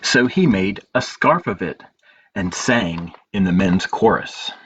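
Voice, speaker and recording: A man hosting a storytime as a librarian, reading picture books aloud in measured phrases with pauses and informal asides. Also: low-pitched, lively intonation, fairly bright tone. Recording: dull lo-fi audio with clear background noise.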